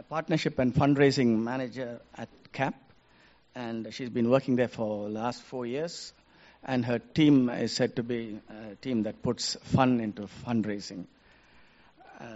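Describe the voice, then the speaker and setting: A man's voice: medium pitch, a little mellow, muffled recording. A man chairing a church mission group, introducing a guest speaker hesitantly with many uhs. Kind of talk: church announcement.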